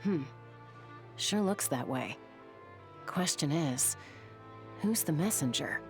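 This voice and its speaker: A confident, casual gunslinger from a video game. Her voice sits on the low side with lively, wry inflection, and music plays clearly behind her. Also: slow, full character voice. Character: easygoing confident adult female gunslinger. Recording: music under the voice.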